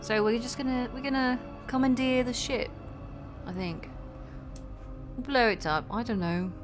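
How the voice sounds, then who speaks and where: A woman's voice: fairly high pitch, measured pace. A woman playing a tabletop roleplaying game, chatting casually and improvising in character. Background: music.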